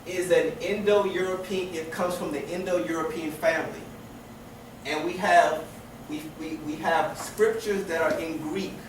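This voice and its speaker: A man teaching a class, lecturing with many restarts and rhetorical questions. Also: on the high side. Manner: repeats and restarts phrases, asks rhetorical questions. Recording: echoey room with noise from far off.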